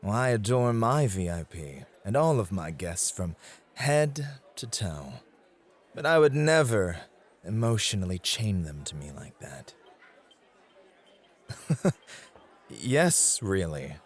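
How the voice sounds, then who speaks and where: A man playing a possessive, devoted club host in an ASMR roleplay, smooth-talking and condescending toward his rival. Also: fairly low pitch, sing-song, slow pace, long pauses, full character voice. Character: possessive, devoted male club host named Roy, courting a guest.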